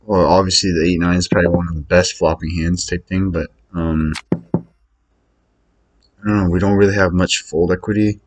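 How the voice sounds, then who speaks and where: A man's voice: low-pitched, flat delivery, moderate pace, rough recording. A man muttering his way through casual think-aloud commentary as he plays online poker, hesitant and questioning himself. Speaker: online poker player recording own session.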